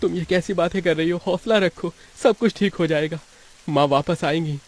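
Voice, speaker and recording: A man's voice: on the high side, normal speed, pitched above the performer's own voice, close to an everyday voice. A man voicing an urgent young son from a poor village family in a cartoon story. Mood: urgent, reassuring.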